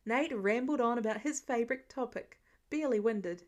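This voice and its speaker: A woman's voice: on the high side, normal speed. A woman reading scripted fiction aloud as a podfic narrator. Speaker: podfic reader.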